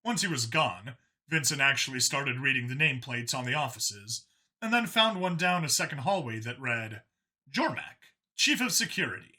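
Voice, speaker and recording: A man's voice: mid-range voice, lively intonation, normal speed, pushed and bright. A man narrating a scripted science fiction story as an audiobook reader.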